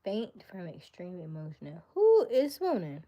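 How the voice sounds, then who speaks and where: A woman hosting a relaxed live stream, chatting playfully with her viewers. She talks slowly in a lowish voice and slips into a soft whisper when she reads their comments. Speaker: livestream host.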